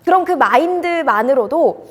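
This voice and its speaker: A woman's voice: high-pitched, animated, moderate pace, in a large hall. A woman broadcaster giving career advice straight to the viewers in a polite, earnest way. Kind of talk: direct-to-camera advice talk.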